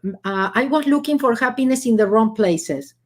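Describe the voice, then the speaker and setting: A woman's voice: fairly high pitch, normal speed. Argentine-accented woman telling stories. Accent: Argentine Spanish. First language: Spanish. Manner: warm storytelling with frequent laughs and fillers. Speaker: guest author. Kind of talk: casual interview chat.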